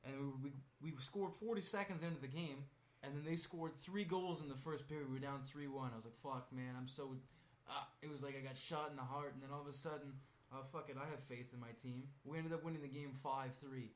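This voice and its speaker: A man's voice: mid-range voice, steady tone, a little fast, few pauses. A twenty-year-old man co-hosting a podcast, chatting casually in a crude, rambling, joking way. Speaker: podcast co-host. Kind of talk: casual chat. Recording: echoey room with faint noise.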